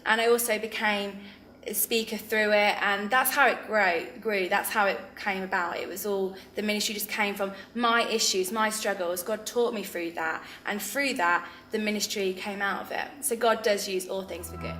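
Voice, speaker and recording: A woman's voice: mid-range voice, fairly even intonation, normal speed, bright, forceful tone. Earnest young English woman, roomy echo. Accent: English Midlands. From England. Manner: earnest, reflective, frequent ums. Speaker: founder of a drama ministry. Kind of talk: interview testimony.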